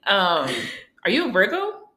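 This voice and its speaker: A woman's voice: mid-range voice, big pitch swings, a little slow, bright, forceful tone. A woman in her thirties hosting a casual chat, easygoing and blunt, swearing freely. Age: 30s. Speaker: host. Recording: roomy echo.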